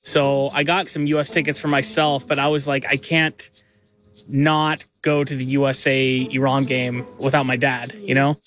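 A man's voice: mid-range voice, little pitch movement, moderate pace, fairly bright tone. A man in his thirties recalling memories casually in an interview, with lots of fillers and restarts. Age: 30s. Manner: chatty, filler-heavy, with likes and restarts.

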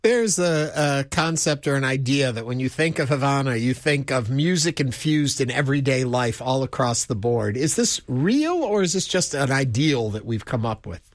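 A man co-hosting a radio talk show, asking measured, careful questions. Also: medium pitch, expressive, no echo, studio quality. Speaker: host.

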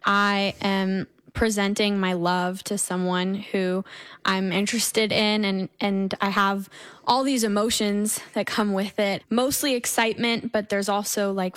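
A woman's voice: medium pitch, fairly bright tone, smooth. Teenage young woman, earnest interview guest. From Brooklyn, New York. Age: teenager, seventeen. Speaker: young classical soprano, guest. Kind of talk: conversational interview.

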